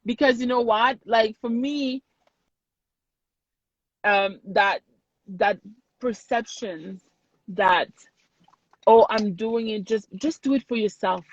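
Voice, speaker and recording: A woman's voice: on the high side, takes it slowly, long pauses, no echo. A woman from Haiti, talking earnestly and emphatically in a casual conversation, repeating words for weight. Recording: dry, dull lo-fi sound.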